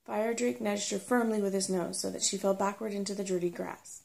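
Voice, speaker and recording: A woman narrating a storybook in ASMR style, soft, hushed and soothing. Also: mid-range voice, steady tone, a little fast, gravelly.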